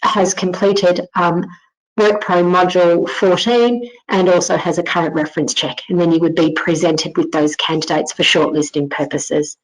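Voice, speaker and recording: A woman with a slightly low voice narrates a screen-share software demonstration. She speaks informally as a company presenter, taking the listener through each step in an instructional way. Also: steady tone, normal speed, poor audio.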